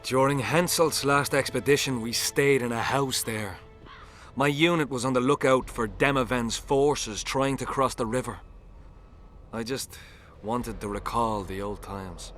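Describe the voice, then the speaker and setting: A man's voice: medium pitch, unhurried, fairly bright tone, soft background music, natural voice. An actor playing a shaken, embittered common soldier in a video game, telling his story bluntly and dramatically. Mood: weary. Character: shaken, embittered low-ranking soldier, humiliated and haunted.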